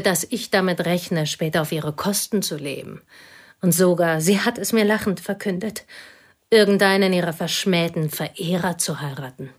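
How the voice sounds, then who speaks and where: An actress playing a plain, devoted woman who confesses intimately to a pianist in a radio play, her voice husky and often whispered. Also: fairly low pitch. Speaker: confiding companion.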